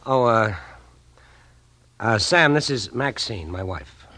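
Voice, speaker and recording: Casting: businesslike radio private eye, low husky voice, curt, swinging intonation. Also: male voice, animated, slow pace, sharp tone, a little hiss or hum, exaggerated voice. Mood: flustered. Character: brisk, businesslike male private detective, happily married. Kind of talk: 1940s radio acting.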